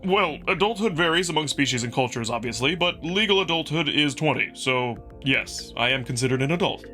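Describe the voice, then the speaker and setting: Big young wolf-man for a visual novel, male performer: earnest yet playful, crisp bright tone, music underneath. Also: on the high side, lively intonation, a little fast. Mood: earnest, concerned.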